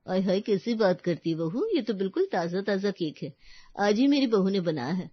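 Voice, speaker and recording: A woman's voice: mid-range voice, lively intonation, moderate pace, husky, dry recording, lo-fi sound. A woman voicing a proud, friendly, chatty older neighbour auntie in story dialogue.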